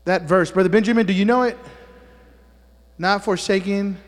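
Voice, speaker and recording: A man's voice: high-pitched, moderate pace, big-room sound. A man preaching a sermon as pastor to his congregation, a native Spanish speaker who teases people with joking asides and keeps checking in with "amen?". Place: church sanctuary.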